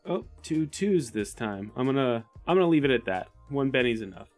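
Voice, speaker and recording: A man's voice: medium pitch, very animated, fairly quick, soft background music. A man playing a tabletop game, casually explaining his character's actions in careful, descriptive detail. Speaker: player in a tabletop game podcast. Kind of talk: casual descriptive roleplay narration.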